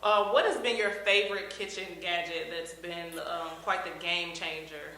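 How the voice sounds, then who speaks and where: A bright-voiced woman hosts a casual interview. She sounds upbeat, laughs easily and fills her pauses with "um" and "uh." The room adds a noticeable echo. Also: on the low side, steady tone, slight background noise. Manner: upbeat, laughs often, many fillers.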